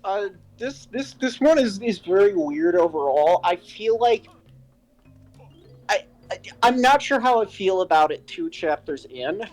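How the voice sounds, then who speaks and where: Casual story show co-host, mid-pitched, rambling and jokey, music behind. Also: rough recording. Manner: rambling, halting, animated joking. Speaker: co-host of a fan fiction reading show. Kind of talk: casual chat, critique. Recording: music playing underneath.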